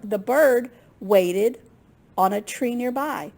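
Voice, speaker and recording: A woman reading a children's story aloud as an expressive storyteller. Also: a little high, slow.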